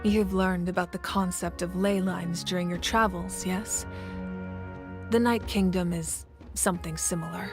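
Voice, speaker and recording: A woman playing a composed, authoritative war deity and leader in a video game, speaking in a measured, serious way. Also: on the low side, steady tone. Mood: grave, explanatory. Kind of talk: video game character acting. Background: music.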